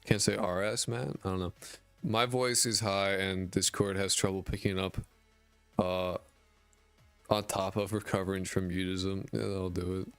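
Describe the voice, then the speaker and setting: Male streamer, very low raspy voice, worn out, mumbly rambling chat, dry close mic. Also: flat delivery, measured pace, a bit bright, no echo, faint music behind. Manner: mumbly, rambling, full of fillers and swearing. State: voice worn out from twelve hours of talking. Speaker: indie game dev streamer. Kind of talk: casual live-stream chat reading viewer messages. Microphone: desk mic with a high-pass filter.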